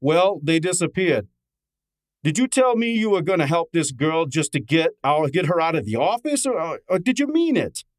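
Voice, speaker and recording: A man's voice: on the high side, very animated, moderate pace, dry recording. A man playing a brash, cheeky detective's assistant who also narrates, in an informal, wisecracking old time radio drama style. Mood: cheeky, needling.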